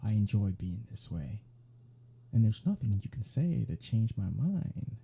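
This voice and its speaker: A man's voice: lowish voice, sing-song, unhurried, gravelly, mellow, husky, exaggerated voice. A man playing a smug, centuries-old vampire in an intimate ASMR roleplay, teasing with a sarcastic drawl. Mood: menacing, savoring.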